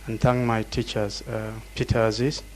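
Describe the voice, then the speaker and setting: A man with a Cameroonian accent speaks formal thanks in an even, flat voice. A faint hum sits under the recording. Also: on the low side, normal speed, low-quality recording. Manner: formal, grateful. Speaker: seminar attendee.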